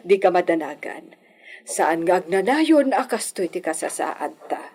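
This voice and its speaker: A woman acting as a tender, worrying mother in an Ilocano radio drama, gentle and emotional. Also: on the low side, sing-song, slow. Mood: reassuring.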